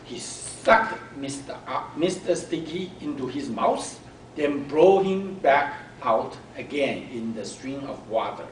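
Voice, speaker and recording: A man reading a children's story aloud to a club, with deliberate, halting delivery. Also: mid-range voice, animated. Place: club meeting room. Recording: roomy, distant mic, faint noise.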